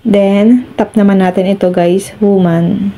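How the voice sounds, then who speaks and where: A woman's voice: medium pitch, flat delivery, measured pace, background noise. A Filipino woman hosting a step-by-step phone tutorial, addressing viewers politely as she gives instructions. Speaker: tutorial host.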